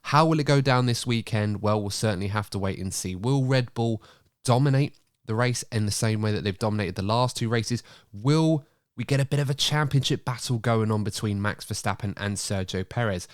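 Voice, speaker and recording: Male podcast host, slightly croaky with a cold, low voice, dry close sound, upbeat and chatty. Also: a little fast, dry recording. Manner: chatty, upbeat, running the show. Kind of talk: casual podcast chat.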